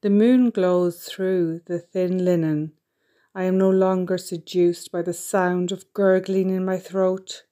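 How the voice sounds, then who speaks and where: Woman, poet, low mellow voice, slow deliberate poetry reading. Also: steady tone. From Ireland.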